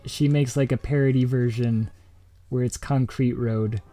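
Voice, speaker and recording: A man's voice: mid-range voice, steady tone, unhurried, dark tone. A man chatting casually on a game night stream, hesitant and often searching for his words. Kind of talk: casual chat among friends.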